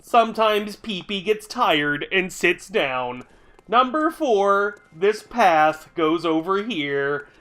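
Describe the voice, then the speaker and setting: A man streaming a video game and chatting to his viewers, his voice a little high and stuffy from a lingering cold. He talks slowly and rambles, grumbling and swearing casually as he plays. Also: lively intonation, bright, forceful tone. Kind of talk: casual live-stream chat while playing.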